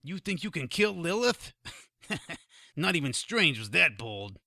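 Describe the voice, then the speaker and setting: Whiny demon lackey, piercingly sharp, gravelly, swooping pitch, cowardly, audio drama. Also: male voice, sing-song, takes it slowly, no room sound, studio quality, heavily acted. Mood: indignant. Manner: whiny, hesitant, indignant. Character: cowardly, whiny, put-upon demon underling.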